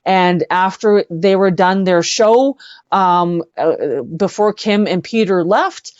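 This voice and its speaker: A woman with a Canadian accent and a fairly low voice speaks casually and earnestly as an interview guest. She halts and repeats words for emphasis. The sound is dry and somewhat dull, like lo-fi online audio. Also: slow, darkish tone, no room sound. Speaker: guest, singer-songwriter. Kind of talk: casual live conversation.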